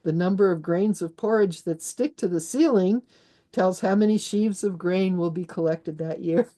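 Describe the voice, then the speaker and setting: A woman guest born in Austria talks through her subject in a loose, conversational way. Her voice sits low and mellow, with frequent restarts and small laughs along the way. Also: normal speed. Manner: chatty, many restarts, laughs while explaining. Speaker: author and herbalist guest. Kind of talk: conversational interview.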